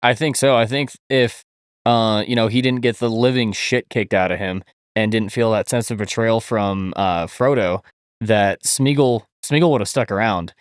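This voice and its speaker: Low, dry-sounding man, halting casual co-host. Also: clean tone, no echo. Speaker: podcast co-host. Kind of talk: casual chat, sweary.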